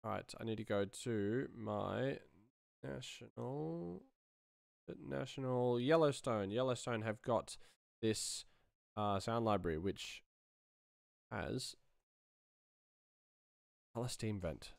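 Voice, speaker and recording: A man's voice: mid-range voice, slow pace, vocal fry, pauses often, dry recording. A man in his thirties giving casual livestream commentary as he works, muttering in a laid-back way into a close microphone. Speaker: sound designer streaming his work. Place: small room indoors. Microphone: close studio microphone.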